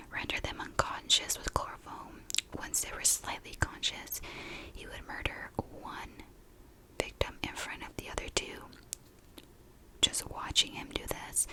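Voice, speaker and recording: Slow whispering woman, ASMR storyteller. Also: poor audio. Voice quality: soft, breathy whisper. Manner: unhurried, informal, conversational narration. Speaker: ASMR true crime storyteller and YouTuber.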